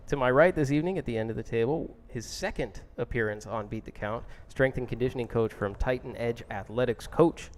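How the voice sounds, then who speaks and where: A man's voice: medium pitch, fairly quick, few pauses. A man hosting a casual boxing podcast, introducing guests and teasing his co-host in friendly banter.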